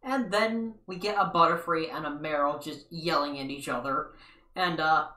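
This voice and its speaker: A man's voice: fairly high pitch, moderate pace, bright, forceful tone. A young man giving a rambling, casual recap commentary from an armchair in a small living room, with sarcastic asides. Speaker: video commentator. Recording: echoey room, mic far off.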